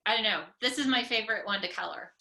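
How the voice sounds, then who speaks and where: A woman's voice: mid-range voice, quick pace, vocal fry, bright, forceful tone. A woman hosting a casual interview, enthusiastic and encouraging toward her guest, recorded with roomy, echoing sound. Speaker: interviewer, host.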